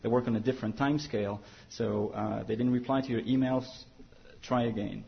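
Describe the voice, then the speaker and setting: A man's voice: mid-range voice, fairly even intonation, fairly quick, creaky, dull audio. A man giving a conference panel talk, then answering a question, with many fillers and restarts. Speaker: panel speaker from an international agency. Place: conference hall.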